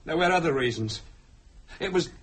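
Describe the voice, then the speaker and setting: An actor playing a blustering, protective, scoffing husband in a dramatic radio thriller, combative in manner. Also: male voice, fairly high pitch, lively intonation, normal speed, fairly bright tone, breathy edge. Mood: scornful.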